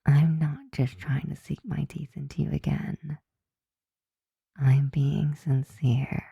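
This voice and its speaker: Breathy, low female vampire voiced by a woman. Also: level tone, mellow, no room sound, clean studio sound, heavily acted. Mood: tender, soothing. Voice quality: breathy, often whispering. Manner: slow, teasing, tender. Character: flirty, softly dominant, affectionate female vampire roommate. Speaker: ASMR roleplay performer. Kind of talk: soft, intimate ASMR roleplay.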